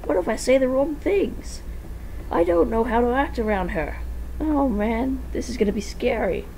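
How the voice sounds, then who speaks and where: A woman playing an eager, fretful cartoon fox, raised pitch. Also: lively intonation, moderate pace, gravelly, mellow, a little hiss or hum, rough recording. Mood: nervous. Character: Foxy, boisterous, nervous male animatronic pirate fox.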